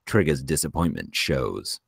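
A man's voice: very deep voice, sing-song, measured pace, creaky, husky, dry recording, clean studio sound. A man reading screenplay stage directions aloud as scripted narration. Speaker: screenplay narrator at a table read.

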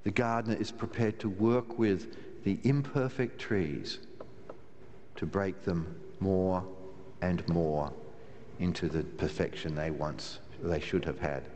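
A man's voice: sits low, a little mellow. Older man preaching in a reverberant church. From Australia. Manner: measured, wry preaching with slow emphatic pauses. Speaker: minister. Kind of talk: sermon. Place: large church, from the pulpit. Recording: long church reverb, faint room hiss.

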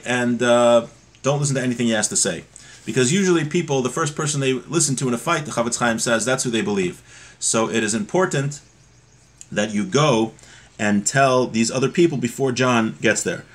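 A middle-aged man giving an informal religious lesson, explaining with examples in a conversational, somewhat halting way. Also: medium pitch, fairly bright tone. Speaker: religious teacher. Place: small home room, indoors. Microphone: webcam or laptop mic at desk distance.

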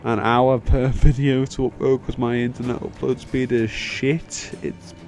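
A man's voice: medium pitch, flat delivery, unhurried, darkish tone. A young man rambling casually over a video game in a self-deprecating, sweary way, his voice tired from long talking. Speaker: video game let's player. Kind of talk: casual rambling gameplay commentary. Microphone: microphone, voice-over on game video. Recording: music playing behind the voice. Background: game end-credits music.

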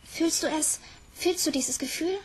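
A woman's voice: high-pitched, a little slow, voice pushed up. A woman voicing a cheerful, encouraging girl in an audiobook, playful and reassuring. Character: cheerful, encouraging girl who grants happiness. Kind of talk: audiobook character dialogue.

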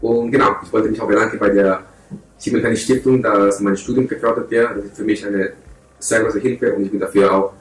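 A man's voice: fairly low pitch, steady tone, fairly quick, far from the mic. A man of twenty-eight from Colombia, a native Spanish speaker with a Colombian accent, introducing himself to camera. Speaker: student. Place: small indoor room with desk. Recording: echoey room. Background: background music.

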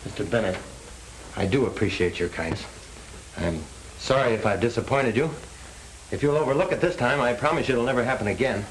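An actor playing a determined young parolee out to clear his name in a 1930s crime film. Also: mid-range voice, a little fast, long pauses, a little hiss or hum, lightly acted, rough recording. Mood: earnest, apologetic. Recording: old 1930s film soundtrack, music bleeding in.

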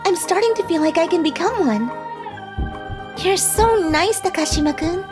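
A woman playing a friendly, gentle junior high school girl in an animated manga story. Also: normal speed, music in the background. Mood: sweet, cheerful.

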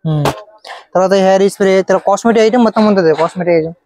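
A man with a Hyderabad Telugu accent, a wholesale shopkeeper who shows his goods and quotes prices in brisk, repetitive bursts. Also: high voice, darkish tone, slight background noise. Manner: brisk, repeats prices, keeps telling the viewer to look. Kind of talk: walking through a shop showing goods and prices. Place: busy wholesale fancy store.

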